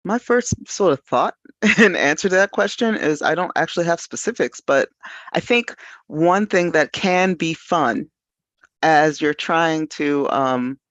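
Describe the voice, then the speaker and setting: A man's voice: a little high, animated, measured pace, a bit bright, dry recording. A man talking casually and reflectively as a panelist, repeating phrases for emphasis. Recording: dull, lo-fi, dry audio.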